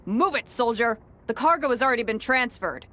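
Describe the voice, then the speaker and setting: A woman's voice: a little high, animated, fairly quick, bright, forceful tone, slight background noise. An actress playing a stern, impatient female military officer in a video game, giving curt commands. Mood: brisk, commanding.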